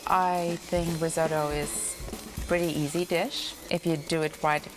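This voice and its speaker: A woman's voice: deep voice, little pitch movement, a little slow, rough recording. A woman, speaking modestly as a cooking contestant in a reality show interview. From Latvia. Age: 20s. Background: background music.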